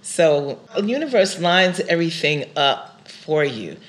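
A woman, a singer speaking as an interview guest in a measured, thoughtful way. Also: sits low, very animated. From United States. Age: 60s. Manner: measured, thoughtful, spiritual phrasing. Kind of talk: reflective conversational interview.

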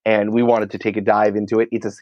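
A man's voice: on the low side, little pitch movement, fast, darkish tone, no room sound, lo-fi sound. A man speaking as a guest in a casual interview, explaining things in an informal, colloquial way. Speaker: podcast company creative head, interview guest.

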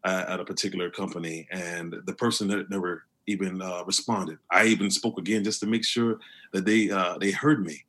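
Husky deep-voiced man, earnest. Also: fairly even intonation, fairly quick, fairly bright tone. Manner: earnest, with many restarts and fillers. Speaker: guest. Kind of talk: conversational interview.

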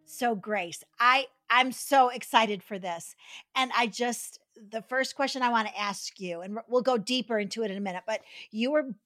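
An enthusiastic woman hosts an interview, affectionate toward her guest. Her voice sits fairly high, and faint music plays behind her. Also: moderate pace, fairly bright tone, dry recording, clean studio sound. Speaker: podcast host. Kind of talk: interview hosting.

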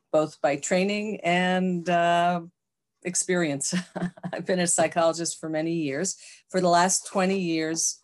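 An older American woman with a notably low voice speaks as a podcast guest. She is thoughtful and unhurried, pausing with fillers as she talks about her work and life. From United States. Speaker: guest, psychologist. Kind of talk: casual interview chat.

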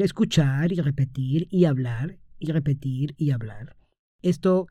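Slow, patient woman teaching Spanish. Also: sits low, mellow, dry recording, studio quality. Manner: patient, explanatory, addresses the learner directly. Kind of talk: slow, clear Spanish lesson for learners.

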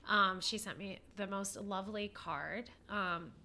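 A woman co-hosting a relaxed podcast, her voice bright and a little nasal from a head cold. She chats loosely, with plenty of ums and pauses. Her pitch sits in the middle of the usual range for a woman. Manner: chatty, with many fillers and pauses. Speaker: podcast co-host. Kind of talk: casual podcast chat.

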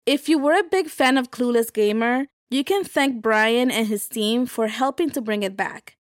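A woman's voice: fairly high pitch, normal speed, no echo, studio quality. A woman hosting a podcast, reading scripted narration. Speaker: podcast host. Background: music bed.

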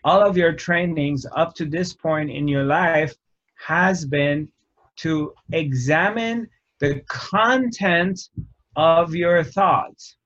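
Slow, measured man teaching spirituality. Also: on the high side, a bit bright. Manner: slow, measured, pausing often between phrases. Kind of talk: reflective spiritual talk. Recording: dull lo-fi recording.

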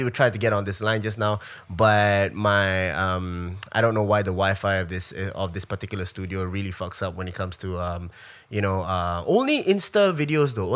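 A man's voice: mid-range voice, a bit bright. A man in his thirties with a Singaporean English accent, talking off the cuff in a live-stream Q&A. He rambles with stacked fillers and restarts and swears casually. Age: 30s. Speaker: comedian.

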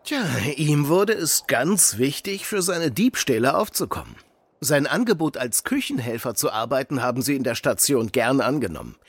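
A husky, gravelly father in a children's radio play. He explains things patiently and gently, with a very lively rise and fall. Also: medium pitch, moderate pace, no echo. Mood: patient, earnest. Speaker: actor in a children's audio drama.

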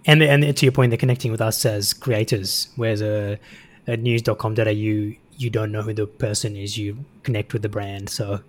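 A man's voice: lowish voice, steady tone, normal speed. A man hosting a conversational interview in a casual, engaged manner. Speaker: interviewer, podcast host.

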